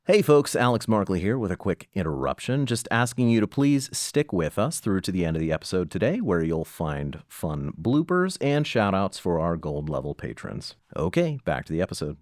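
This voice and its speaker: A man speaks as a show host addressing listeners in a scripted aside. His pitch is low and his intonation lively and friendly. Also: normal speed, few pauses, no echo, clean studio sound.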